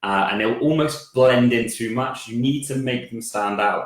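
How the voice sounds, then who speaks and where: A man with a croaky voice answering viewer questions on a live stream, hesitant with lots of fillers and restarts. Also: fairly low pitch, fairly bright tone. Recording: very echoey room.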